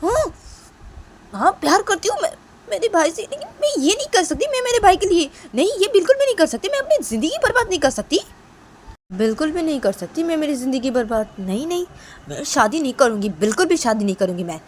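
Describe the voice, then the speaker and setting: A woman voicing a stubborn young sister who refuses to marry, talking high and loud in indignant protest. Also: big pitch swings, quick pace, a little hiss or hum, close to an everyday voice. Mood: exasperated.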